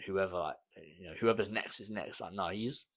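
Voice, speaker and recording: A man's voice: low voice, normal speed. A young British man chatting casually as a podcast co-host, with slangy, informal speech and some mild swearing. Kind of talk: casual chat about wrestling.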